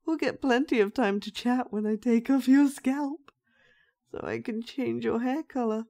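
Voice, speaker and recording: A woman's voice: fairly high pitch, unhurried, darkish tone. A woman playing an unhinged, sweetly sinister young dollmaker in a horror audio roleplay, with childlike, sing-song menace. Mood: calmly menacing.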